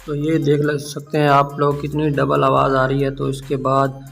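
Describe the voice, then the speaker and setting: A man's voice: a little high, completely flat delivery, moderate pace, creaky, fairly bright tone, soft background music. A man hosting a casual tech app tutorial.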